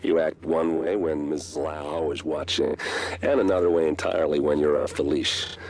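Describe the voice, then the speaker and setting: An actor playing a smug, menacing rogue agent who delivers a taunting, conversational villain monologue in a video game. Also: male voice, rumbling low, steady tone, normal speed, a little mellow.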